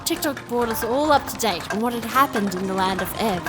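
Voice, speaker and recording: A woman's voice: fairly high pitch, a little fast, over music, natural voice. A woman playing an earnest storyteller, narrating a fantasy journey with composure in an audio drama. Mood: composed, earnest.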